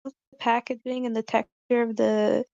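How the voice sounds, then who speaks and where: A woman's voice: a little high, level tone, moderate pace, gravelly, warm tone, no echo. A woman giving casual feedback in an informal group chat, with dull, lo-fi sound. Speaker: table-read participant giving feedback. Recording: dull lo-fi call audio.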